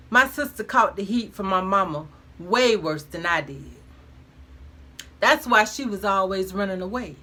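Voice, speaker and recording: A woman's voice: medium pitch, bright, forceful tone. A woman reading her own first-person memoir aloud in a frank, conversational way with emphatic colloquial phrasing.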